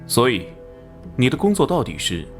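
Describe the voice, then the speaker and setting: An actor playing an earnest young police investigator in an animated crime drama, asking questions in a direct, plain-spoken way. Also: male voice, mid-range voice, normal speed, soft background music. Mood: puzzled, curious.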